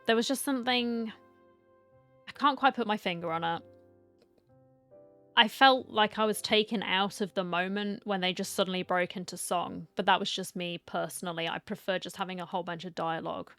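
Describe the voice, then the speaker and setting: A woman's voice: mid-range voice, steady tone, moderate pace, a bit bright, soft background music. A woman game streamer giving casual, chatty, informal commentary as she reacts to a game.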